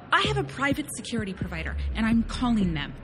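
Stubborn shop owner, high swoopy voice, exasperated and defiant, sci-fi audio drama. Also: female voice, very noisy. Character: indignant, stubborn human woman shopkeeper.

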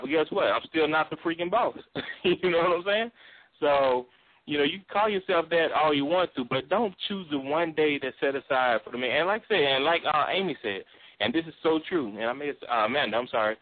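A baritone man gives his opinion at a fast clip, pressing his points and laughing often. He talks with a dry, close sound. His voice comes through a thin phone line. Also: no echo. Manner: emphatic, laughs often, repeats "you know what I'm saying". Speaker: guest or co-host on a call-in talk show. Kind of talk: casual opinionated chat.

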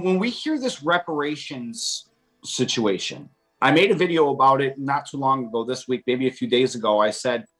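An American woman in a casual opinion chat, talking emphatically and bluntly and swearing freely. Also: a bass voice. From United States.

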